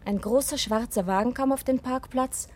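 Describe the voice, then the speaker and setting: A woman playing a composed, insinuating witness in a 1970s radio crime drama, with measured, pointed phrasing. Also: sits high, a little mellow. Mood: quietly accusing. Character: composed, probing female witness, coolly insinuating.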